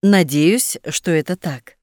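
A woman reading short phrase translations aloud for a language lesson in a clear, measured way. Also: on the low side, darkish tone, no room sound, studio quality. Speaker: phrasebook reader.

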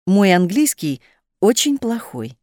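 A woman reading short phrase translations aloud for a language lesson in a clear, measured way. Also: mid-range voice, sing-song, darkish tone, no echo, clean studio sound. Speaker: phrasebook reader.

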